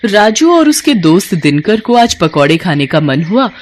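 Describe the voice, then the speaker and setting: A woman's voice: medium pitch, big pitch swings, moderate pace, a little hiss or hum. A woman reading scripted narration as the storyteller of a cartoon moral tale.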